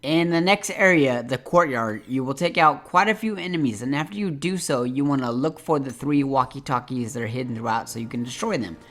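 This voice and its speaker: Male game guide host, medium pitch, instructional and directive walkthrough delivery. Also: a little fast, hardly any pauses, a bit bright. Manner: step-by-step, directive.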